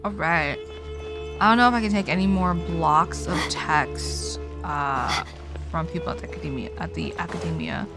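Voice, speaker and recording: A woman's voice: deep voice, lively intonation, moderate pace. Tired woman streamer rambling over game music. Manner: rambling, informal, trailing off. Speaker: live streamer. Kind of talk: casual livestream chat while gaming.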